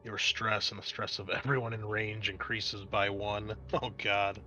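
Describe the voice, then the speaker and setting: A man's voice: fairly low pitch, flat delivery, measured pace, bright, forceful tone, muffled recording. A man working as a game master, narrating a tabletop role-playing game in a conversational, improvised way.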